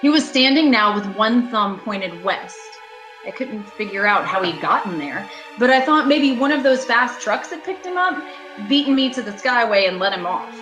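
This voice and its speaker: A woman acting a haunted traveller in her thirties in an amateur radio thriller, urgent and halting, repeating phrases for emphasis. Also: on the high side, lively intonation, muffled recording, close to an everyday voice. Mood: uneasy recounting. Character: haunted 36-year-old woman traveller, shaken but insisting she's sane. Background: music and rain.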